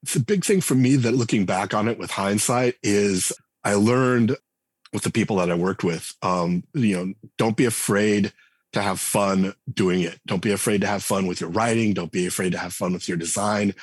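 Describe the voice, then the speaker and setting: A man's voice: lowish voice, moderate pace, slightly rough, no room sound. A man in his forties speaking as a guest in a casual interview, talking loosely with many restarts and fillers. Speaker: game writer and designer guest. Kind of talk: conversational podcast interview.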